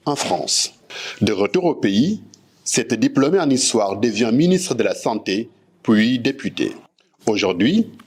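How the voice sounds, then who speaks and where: A man's voice: on the low side, breathy edge. A man reading a scripted news report.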